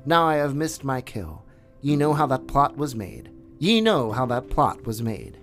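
A man's voice: medium pitch, big pitch swings, a little slow, a bit bright, voice pushed up. A man voicing an old, weary male wolf who leads the pack, speaking with solemn dignity over faint music.

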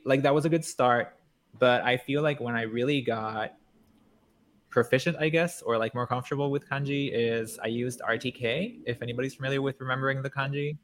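A man's voice: mid-range voice, fairly bright tone. A man from Puerto Rico whose first language is Spanish, a translator talking eagerly and rambling a little in a casual interview. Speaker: translator guest.

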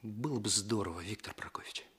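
A male actor playing an eager, naive young police detective in a radio play, earnest, hurried and deferential. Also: lowish voice, a bit bright, husky. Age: young adult.

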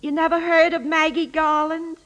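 High-voiced, chatty old landlady. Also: little pitch movement, normal speed, fairly bright tone. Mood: wistful pride. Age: older. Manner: folksy, rambling, colloquial speech. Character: chatty old landlady, faded stage soubrette, proud, gossipy. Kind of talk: old-time radio drama acting.

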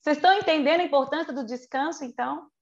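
Woman in her forties, Brazilian Portuguese accent, high creaky voice, conversational preaching, emphatic drawn-out words, rhetorical questions. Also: highs cut off. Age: 40s. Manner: emphatic, drawn-out key words alternating with chatty quick asides; frequent rhetorical questions and check-ins with listeners. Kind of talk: conversational sermon to a congregation.

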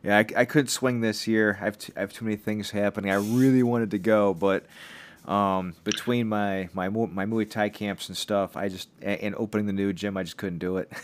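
A man hosting a casual podcast in a bright, upbeat way and laughing often. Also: fairly low pitch, fairly even intonation, moderate pace, a little hiss or hum. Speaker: podcast host.

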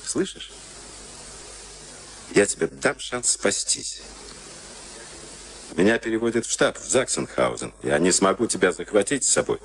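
An actor in a Soviet radio play, playing a sadistic, smug SS camp officer with a taunting, mock-polite manner. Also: male voice, on the low side, sing-song, measured pace, pauses often. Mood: commanding, taunting. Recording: noisy archival radio drama recording.

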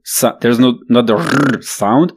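Man, mid-pitched voice, wry, joking, casual chat. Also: unhurried, vocal fry, slightly rough.

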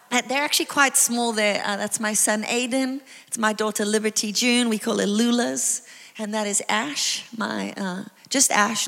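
A South African woman in her thirties preaching a sermon, chatty and animated, with lots of exclamations and asides. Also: mid-range voice. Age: 30s. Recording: long reverb of a large hall.

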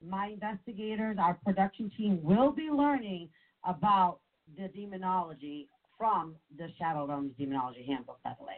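Lively smoky-voiced woman, phone sound. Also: fairly low pitch, narrow, tinny audio. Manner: eager, chimes in with quick affirmations. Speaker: co-host of a paranormal talk show. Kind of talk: casual chat.

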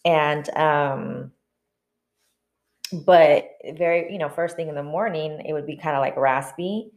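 Low-voiced woman telling stories. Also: measured pace. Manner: relaxed storytelling with many fillers. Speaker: podcast co-host. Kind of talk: casual chat.

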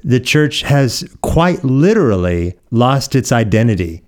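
A middle-aged American man from Oregon, a pastor being interviewed, explaining things conversationally with thoughtful, measured pauses. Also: medium pitch, big pitch swings. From Oregon, United States.

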